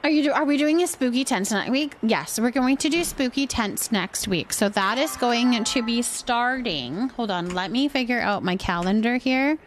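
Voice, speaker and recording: A woman's voice: on the high side, animated, normal speed, hardly any pauses, slight background noise. A woman hosting a live game stream, chatting casually and thinking aloud as she goes.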